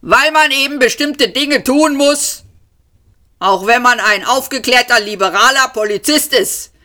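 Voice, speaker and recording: A woman reading an audiobook, voicing two self-righteous male alien policemen who shout polite threats. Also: high-pitched, unhurried, voice pushed up. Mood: shouting smugly.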